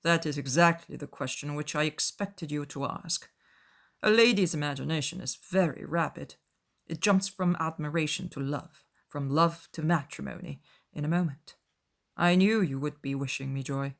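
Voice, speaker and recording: A woman voicing a proud, reserved gentleman with a grave, formal, clipped manner in an audiobook. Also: low-pitched, moderate pace, vocal fry. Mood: composed, dry.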